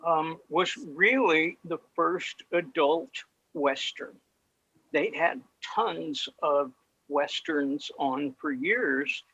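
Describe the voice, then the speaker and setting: A man presenting informally over a video call, halting with long pauses, the audio slightly dull. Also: on the high side, bright, forceful tone, breathy edge. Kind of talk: informal talk on old radio shows.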